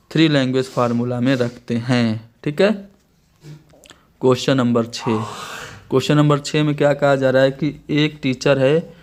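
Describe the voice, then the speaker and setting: A man's voice: mid-range voice, darkish tone. A man with an Indian accent teaching an online exam-prep lecture, explaining each answer step by step and restating key terms.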